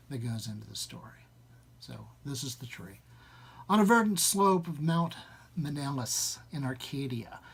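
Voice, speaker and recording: A man speaking as a live reader, moving between informal introduction and literary story reading. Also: medium pitch.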